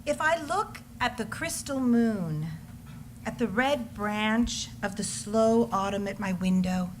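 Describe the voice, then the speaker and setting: A woman's voice: medium pitch, a little hiss or hum. A woman giving a dramatic, lingering recitation of poetry as a reader in readers theater. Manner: slow, expressive, lingering phrasing.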